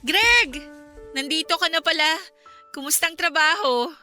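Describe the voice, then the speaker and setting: An actress playing an anxious, eager but hurt young wife in a Filipino radio drama. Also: female voice, extremely high pitch, lively intonation, unhurried, sharp tone, soft background music, full character voice. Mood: eager, welcoming.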